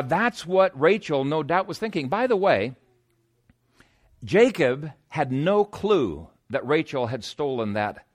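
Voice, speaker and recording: A man preaching an expository sermon in an emphatic, conversational teaching manner. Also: on the high side.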